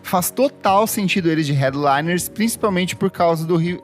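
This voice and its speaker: A man's voice: sits high, normal speed. A man from Brazil co-hosting a casual music podcast, reading listener comments aloud and teasing. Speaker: podcast co-host. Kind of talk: casual chat.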